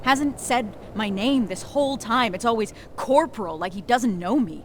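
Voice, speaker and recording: Actress as blunt resistance soldier woman, high voice, swooping intonation, emotive, video game drama. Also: animated, normal speed, slight background noise, lightly acted. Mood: hurt, confiding. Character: intense, blunt female resistance fighter. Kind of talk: dramatic cutscene acting.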